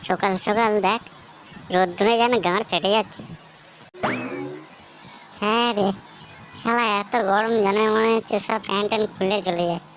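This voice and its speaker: A woman's voice: medium pitch, fairly even intonation, moderate pace, pauses often, soft background music, lightly acted, poor audio. A woman voicing a foul-mouthed village boy in a comedy cartoon, talking coarse slang with a West Bengal accent. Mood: grumbling.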